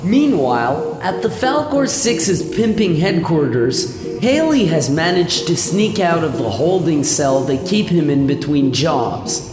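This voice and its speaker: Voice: mid-range voice, sing-song, a little slow. Echoey narrator of a radio serial. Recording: echoey long reverb. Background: music.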